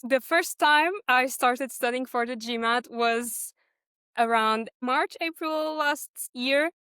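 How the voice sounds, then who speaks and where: A woman's voice: high-pitched, slow pace, pushed and bright, clean tone, no echo, clean studio sound. A woman in her twenties from Portugal with a Portuguese accent, telling her own story conversationally as a podcast guest. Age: 20s. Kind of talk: conversational personal account.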